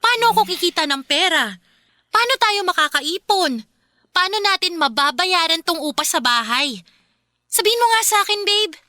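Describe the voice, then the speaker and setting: Brash, bright-voiced young Filipina girlfriend. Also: extremely high pitch, lively intonation, moderate pace, no echo, heavily acted. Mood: confrontational. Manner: assertive, exasperated, streetwise. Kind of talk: radio drama dialogue. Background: faint music.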